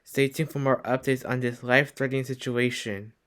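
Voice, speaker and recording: A man's voice: medium pitch, fairly even intonation, fairly quick, fairly bright tone, close to an everyday voice. A man playing an official emergency alert announcer, reading a formal scripted warning. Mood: urgent. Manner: formal, listing.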